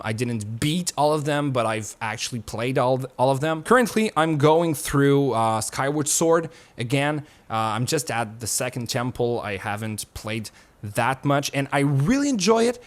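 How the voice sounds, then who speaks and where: The voice is a young man's, at an everyday pitch, talking to camera in his home studio. He sounds relaxed and loose, wanders from point to point and drops the odd swear word. It plays as an unscripted chat with viewers. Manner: relaxed and rambling, swears casually. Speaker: YouTuber.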